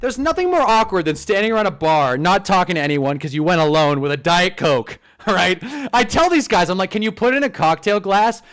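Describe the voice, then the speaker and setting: A man's voice: sits high, a little fast, hardly any pauses. A man in his twenties with a Californian accent, hosting a live stream and telling stories casually. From Southern California. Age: 20s. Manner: animated, swearing, storytelling. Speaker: streamer host.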